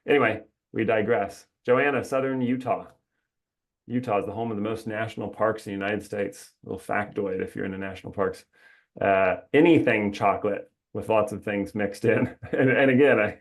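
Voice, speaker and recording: A man's voice: lowish voice, gravelly. A man hosting a webinar in a casual, chatty way, upbeat and rambling, laughing often, heard through a video call mic. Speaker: webinar host.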